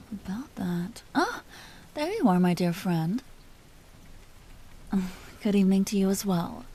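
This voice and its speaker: A woman playing an elegant vampire duchess in an audio roleplay, speaking softly with formal, languid, teasing courtesy and an amused, predatory air. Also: mid-range voice, slight background noise. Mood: poised, weary. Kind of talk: dramatic roleplay audio performance.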